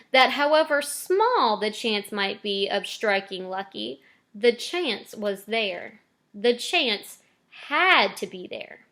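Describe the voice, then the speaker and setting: Animated woman reading a children's story. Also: a little high. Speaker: teacher reading aloud to students. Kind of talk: expressive children's storybook narration.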